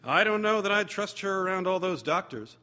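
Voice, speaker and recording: A man performs a young college student from a comic story. He is pitched high and bright, sounding overeager and guilty. Every phrase pretends to be breezy innocence. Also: lively intonation, a little fast. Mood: nervously casual. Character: nervous young male college student feigning casual innocence.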